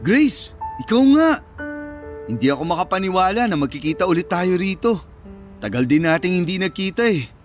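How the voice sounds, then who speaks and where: Cocky, higher-voiced Filipino ex-boyfriend. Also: male voice, very animated, normal speed, faint music behind. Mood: pleasantly surprised. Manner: teasing, boastful. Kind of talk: radio drama dialogue.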